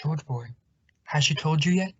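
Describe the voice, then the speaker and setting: A man's voice: a little high, moderate pace, fairly bright tone, husky, dry recording, highs cut off, some chatter behind. A man playing a jovial, meddling family friend in a radio sitcom, with a hearty, coaxing, theatrical delivery. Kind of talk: 1950s radio sitcom acting.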